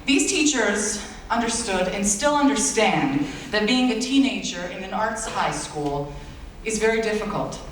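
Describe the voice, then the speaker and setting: A late-teen young man whose first language is Bulgarian delivers his valedictorian speech, earnest and self-deprecating with playful asides. His voice booms around a big reverberant hall, picked up from far back by an audience camera, with a faint hum underneath. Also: expressive, measured pace, few pauses. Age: late teens. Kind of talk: graduation speech. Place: large school auditorium. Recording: echoey hall recorded from far off.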